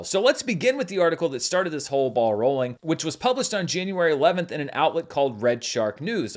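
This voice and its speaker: A man hosting his own channel as a film critic, explaining a news story in scripted commentary. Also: on the high side.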